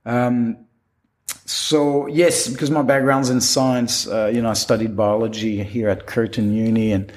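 A man's voice: medium pitch, little pitch movement, unhurried, darkish tone. A middle-aged man from Quebec with a French accent, a magician talking casually in an interview, rambling with many restarts and fillers. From Quebec, Canada. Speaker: guest, professional magician.

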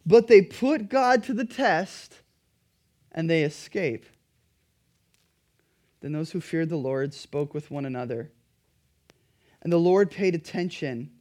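High-pitched pastor guy preaching, bit raspy from a dry throat, gets emphatic. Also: dark tone. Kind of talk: sermon.

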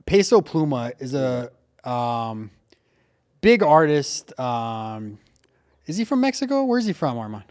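A man hosting a casual podcast, telling stories in an animated way with lots of filler words like "like" and "dude.". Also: mid-range voice, a little slow. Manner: animated storyteller, heavy use of "like" and "dude". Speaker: podcast host.

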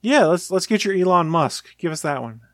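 Chatty male co-host, a bit high-pitched, dry close mic, rambling casual delivery. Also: animated, moderate pace, a bit bright, dry recording. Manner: chatty, long rambling explanations. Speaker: podcast co-host. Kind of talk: casual chat.

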